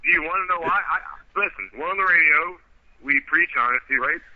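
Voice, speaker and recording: A man's voice: fairly high pitch, brisk, no room sound, muffled recording. A man co-hosting a casual radio show, joking and teasing with plenty of profanity. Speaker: radio co-host.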